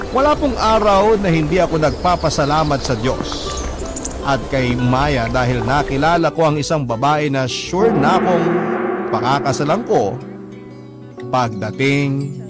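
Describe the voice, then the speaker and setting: A man narrating a love-story letter in an earnest, polite, confessional way, in the manner of a radio drama. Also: medium pitch. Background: music.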